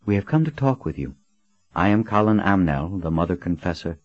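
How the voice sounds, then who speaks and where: Man reading a cold commanding lady leader, firm and threatening, deep dry sound. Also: animated, brisk, no echo, lo-fi sound, faint music behind. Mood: stern.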